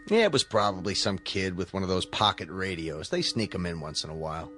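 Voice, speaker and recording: Folksy no-nonsense guard, radio drama, casual delivery, lively pitch, faint music. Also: male voice, brisk. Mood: stern, dismissive. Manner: casual, plain-spoken, clipped phrases. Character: chatty, folksy, no-nonsense male museum guard.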